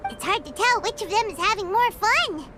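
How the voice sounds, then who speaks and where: A woman voicing a tiny, excitable, childlike game companion with a squeaky voice who talks about herself in the third person. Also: a little fast, loud music behind the voice. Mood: teasing, curious. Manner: refers to self by name in third person. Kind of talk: video game voice acting.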